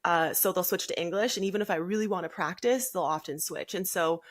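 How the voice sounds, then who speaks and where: A woman's voice: fairly low pitch, fairly quick. A woman chatting casually as a podcast guest, telling her own stories in a talkative, self-deprecating way. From Canada. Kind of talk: casual conversational interview.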